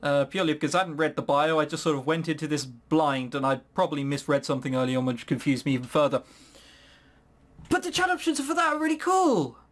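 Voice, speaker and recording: Animated man doing sarcastic game commentary. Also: a little high, quick pace. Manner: sarcastic, swearing. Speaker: let's play host. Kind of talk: casual gaming commentary, reading game text aloud.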